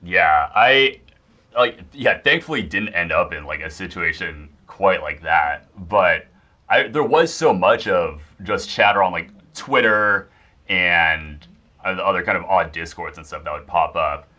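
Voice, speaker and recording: A man's voice: deep voice, lively intonation, measured pace, sharp tone. A man talking casually as a podcast guest, rambling and hedging as he goes, often saying like.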